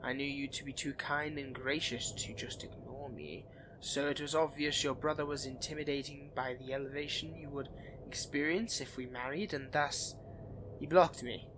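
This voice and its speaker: A man's voice: medium pitch, steady tone, moderate pace, background noise, close to an everyday voice. A man playing a suave, scheming vampire aristocrat in a dramatic roleplay addressed to the listener, with courtly, haughty, theatrical phrasing. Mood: smug, courting.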